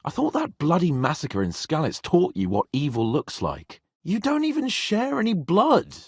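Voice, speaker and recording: A cocky young medieval lord for a video game, proud and quick to mock. His pitch swings hugely from phrase to phrase, and the voice has a slightly husky edge. It sounds close and dry. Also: dry recording, full character voice. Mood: reproachful. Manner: cocky, sardonic, swearing, expressive. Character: brash, teasing young medieval nobleman, proud and hot-headed. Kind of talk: video game voice acting, dramatic dialogue.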